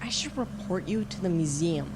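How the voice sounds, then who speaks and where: A woman's voice: lowish voice, animated, moderate pace, heavy background noise, lightly acted. A young female actor playing a bossy, wary leader of adventuring kids in an audio drama. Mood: annoyed, urgent. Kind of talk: youth audio drama acting.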